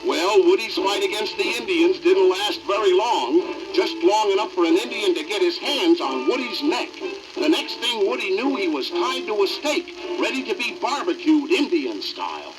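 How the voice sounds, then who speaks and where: A man's voice: high voice, sing-song, moderate pace, loud music behind the voice, poor audio. A man playing the storyteller of a children's cartoon adventure, narrating from a script on an old record. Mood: lively.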